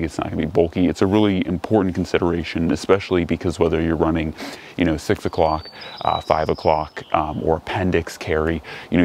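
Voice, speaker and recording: Deep, gravelly young American man. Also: a little mellow, a little hiss or hum. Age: young adult. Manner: rambling, hedging with frequent "you know" and "like". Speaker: gear review vlogger. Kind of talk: casual explainer to camera. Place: outdoors on a wooden deck among trees.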